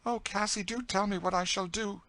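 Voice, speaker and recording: A man's voice: high-pitched, steady tone, moderate pace, a bit bright, dry recording, voice pushed up. A man voicing a frightened, innocent young enslaved woman in an audiobook, pleading breathlessly. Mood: breathless dread. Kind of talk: dramatised audiobook reading of a classic novel.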